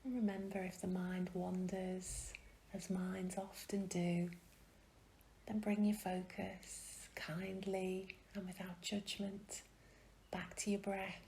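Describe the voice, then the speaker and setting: A woman gently guiding a meditation in a soft, breathy, often near-whispered voice, soothing and unhurried, with long pauses. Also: mid-range voice, level tone, a little hiss or hum. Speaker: meditation guide. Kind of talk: guided meditation.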